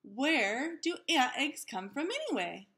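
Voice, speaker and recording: A woman voicing a gentle, observant young schoolgirl in a storybook, wondering and matter-of-fact. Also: high-pitched, big pitch swings, measured pace, full character voice. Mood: curious.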